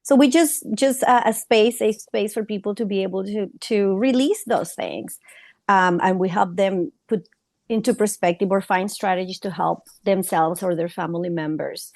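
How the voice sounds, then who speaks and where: A woman therapist from Colombia with a Colombian Spanish accent, a native Spanish speaker, talking in a halting way with fillers in an interview. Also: medium pitch, gravelly, dry recording. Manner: warm, halting, many fillers and restarts. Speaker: trauma therapist guest. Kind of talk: conversational interview.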